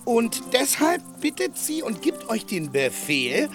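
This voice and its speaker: A man's voice: very high-pitched, wildly swooping pitch, takes it slowly, over music, heavily acted. A man playing a simple, chatty peasant squire in a radio play, stammering and hedging in blunt, earthy talk. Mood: hedging, earnest. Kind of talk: German radio play acting.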